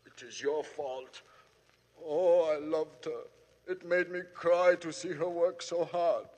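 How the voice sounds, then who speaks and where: An actor playing a desperate, dying German murderer in an old radio drama, pleading in a breathy German accent. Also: male voice, a little high, fairly even intonation, takes it slowly, a little mellow. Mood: anguished. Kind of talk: 1950s radio drama acting. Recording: old radio broadcast, hall reverb.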